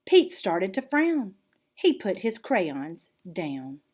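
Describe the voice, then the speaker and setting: Slow, lively woman reading a picture book. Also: medium pitch. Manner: expressive, deliberate storytelling for young children. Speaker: art teacher reading aloud. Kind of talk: animated children's picture book read-aloud.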